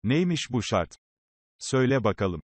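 A man's voice: mid-range voice, sing-song, moderate pace, no echo. Man acting curt, swooping fairy-tale king. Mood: curt, commanding. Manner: curt, imperious. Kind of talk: cartoon fairy-tale dialogue.

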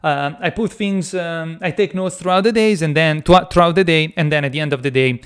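A man's voice: a little high, fairly quick, clear, resonant tone. Italian-accented man, casual home vlog. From Italy. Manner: animated, gestures a lot, frequent ums and restarts. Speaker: vlogger. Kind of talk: casual personal-development vlog. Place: small home studio room. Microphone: large studio mic on boom arm.

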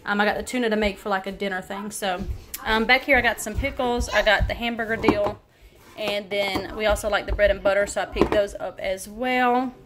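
Brief: casual thirties mom-vlogger, kitchen phone audio with clear background noise. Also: female voice, medium pitch, steady tone, a little fast. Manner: chatty, many ums and likes, listing items. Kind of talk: casual grocery haul narration. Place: home kitchen, indoors.

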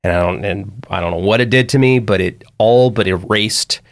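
A middle-aged man with a raspy voice, talking candidly as a musician guest and rambling with many restarts. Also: on the low side, moderate pace. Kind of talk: candid interview talk.

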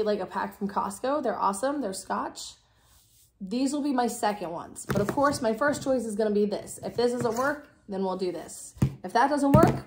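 Raspy woman with a cold. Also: fairly high pitch, a little mellow. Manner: chatty, rambling with many fillers. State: hoarse from a cold, low energy. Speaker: vlog host. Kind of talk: casual chat to camera. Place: living room, indoors.

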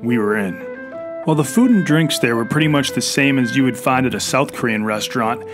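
A man's voice: mid-range voice, expressive, a little fast. A man narrating his vlog in a scripted voice-over, wry and sarcastic. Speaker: vlogger, host. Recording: voice-over with loud music behind.

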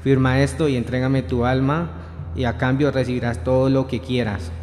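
Sly, flat-toned tempting vagabond played by a man. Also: mid-range voice, normal speed, slight background noise, close to an everyday voice. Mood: tempting, solemn. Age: young adult. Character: sly devil in guise of vagabond, tempting, grandiose. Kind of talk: student radio drama reading. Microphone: shared handheld microphone. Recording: echoey hall-like room, music behind.

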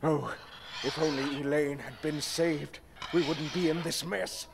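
A man's voice: on the high side, fairly even intonation, unhurried, gravelly, background noise. An actor playing a bitter, unhinged, guilt-ridden old man in a video game, theatrical and self-pitying. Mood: mournful. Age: older.

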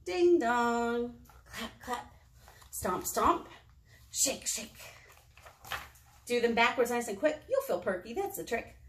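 An American woman in her thirties hosting a children's storytime, expressive and talking directly to small children in a room. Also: fairly high pitch, slow pace, long pauses, slight background noise. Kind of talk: children's storytime read-aloud with singing. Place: small indoor meeting room. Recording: roomy indoor echo.

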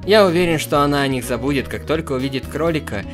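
A man's voice: on the high side, fast talker, music in the background, pitched below the performer's own voice, close to an everyday voice. A man voicing a reluctant, grumbling boy from a visual novel, sullen and hesitant.

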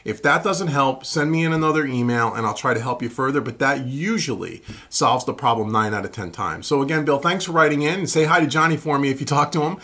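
A man in his forties talks to camera as the host of a how-to show. His mid-pitched voice has a husky, rough-edged grain. He explains things step by step in a casual, conversational manner, stressing key points as he goes. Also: quick pace, few pauses, bright, forceful tone. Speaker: host of a home recording tutorial Q&A show. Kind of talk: casual explanatory talk to camera, answering viewer questions. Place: small furnished room at home, indoors.

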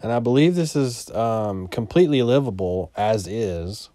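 A man giving a casual, unscripted property walkthrough as a landlord, in a matter-of-fact, halting way that trails off. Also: lowish voice, animated, dark tone, no room sound. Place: inside an empty mobile home, starting on the porch.

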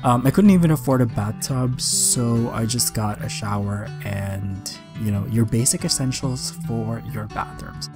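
A young man giving casual, chatty and enthusiastic voice-over commentary for a game video, with a slightly raspy voice. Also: mid-range voice, unhurried, hardly any pauses. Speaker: gaming video creator. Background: guitar music.

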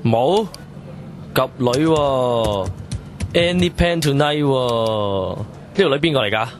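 A man's voice: mid-range voice, expressive, slow, soft background music. A man with a Hong Kong Cantonese accent playing a nosy, blunt young buddy in a casual radio drama. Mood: curious. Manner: blunt, questioning. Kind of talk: colloquial radio drama acting.

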